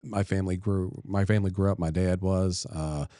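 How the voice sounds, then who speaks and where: A man's voice: low-pitched, steady tone, mellow, dry recording, studio quality. A middle-aged man from Delaware, a state senator, chatting as a guest in a casual interview and telling stories in an easygoing, folksy way. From Delaware, USA. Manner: easygoing, folksy, unhurried storytelling.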